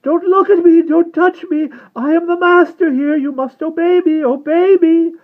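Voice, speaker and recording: An unhinged male vampire from a dark fantasy audiobook, pitched extremely high and strained. He swings wildly between coaxing and frantic command, cruel and desperate, through thin phone-like audio. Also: animated, measured pace. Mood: furious, shrieking. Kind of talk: dramatic audiobook-style character reading.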